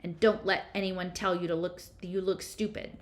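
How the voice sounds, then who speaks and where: A woman's voice: lowish voice, fairly even intonation, moderate pace, natural voice. A woman reading an audiobook voices a mean teenage girl with a snide, cutting way of talking.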